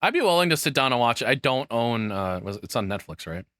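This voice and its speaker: A man reacts like a streamer, chatting casually and bluntly with plenty of swearing. His voice is bright and forward, recorded up close and very dry, with no room sound around it. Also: medium pitch, very animated, fast talker, no echo.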